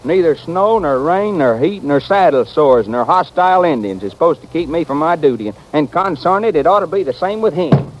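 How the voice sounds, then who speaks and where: An actor playing a cantankerous, proud Old West stagecoach driver with folksy, stammering frontier speech in a radio western. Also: male voice, a little high, lively intonation, brisk, hardly any pauses, close to an everyday voice. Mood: indignant griping. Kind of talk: 1940s-50s radio western acting. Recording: muffled, noisy old radio recording.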